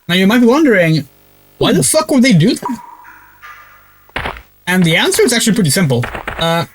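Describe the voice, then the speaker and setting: A man's voice: high voice, sing-song, a little fast. A man streaming a video game, giving casual commentary in a rambling way with lots of fillers and swearing. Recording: loud game music behind the voice.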